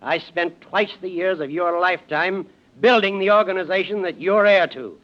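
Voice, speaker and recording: A man's voice: high voice, moderate pace, natural voice. An actor playing a stern, sardonic, wealthy old father who commands with biting sarcasm, in 1940s radio drama style. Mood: angry. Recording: muffled old-time radio recording.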